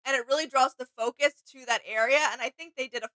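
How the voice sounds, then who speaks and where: High, bright-voiced woman chatting casually. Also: steady tone, fast talker. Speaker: reaction video co-host. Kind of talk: casual chat commentary.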